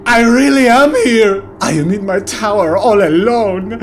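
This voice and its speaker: A man voicing a vain, melodramatic French artist with a fake French accent, pompous and halting, in comedic game narration. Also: high-pitched, a little hiss or hum. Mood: despairing, wailing. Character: melodramatic, vain French male artist, wine-loving, alone in tower. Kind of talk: comedic gameplay narration in character.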